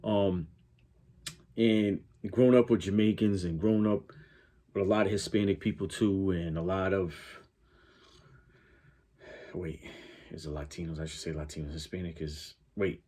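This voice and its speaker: A man's voice: deep voice, steady tone, moderate pace, long pauses, warm tone. A man with a southwestern Connecticut accent close to New York's gives casual, opinionated commentary, rambling and stammering as he corrects himself. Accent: southwestern Connecticut, close to New York. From Connecticut, United States. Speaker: YouTube reaction host.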